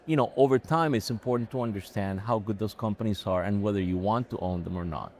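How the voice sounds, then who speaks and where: Man, 40s, from Colombia, lowish voice, thoughtful, hesitant restarts, interview guest. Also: a little mellow, a little hiss or hum. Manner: measured, thoughtful, with frequent "uh" and restarts. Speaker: company chief executive, podcast guest. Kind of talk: conversational interview answers. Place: live event recording on location.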